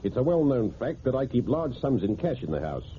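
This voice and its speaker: An actor playing a precise, self-assured wealthy broker in an old radio drama, speaking formally and deliberately. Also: male voice, medium pitch, very animated, natural voice. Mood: grave, dramatic. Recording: tinny, noisy old radio recording.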